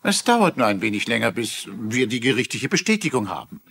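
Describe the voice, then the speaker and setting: An actor playing a reasonable, placating family lawyer in a German-dubbed TV crime drama. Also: male voice, medium pitch, very animated, fairly quick, creaky, a bit bright.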